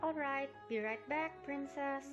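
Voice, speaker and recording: A woman's voice: high-pitched, unhurried, lo-fi sound, over music. A woman voicing a friendly, loyal mare pony who speaks politely and casually.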